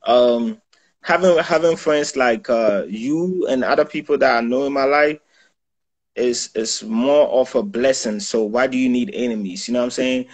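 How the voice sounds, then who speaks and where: A young man from Ghana speaks over a video call from a small indoor room. His voice is husky, with a middle pitch. He talks loosely and in slang, full of "yo" and "you know what I'm saying". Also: moderate pace. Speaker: guest photographer and videographer. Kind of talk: casual interview chat.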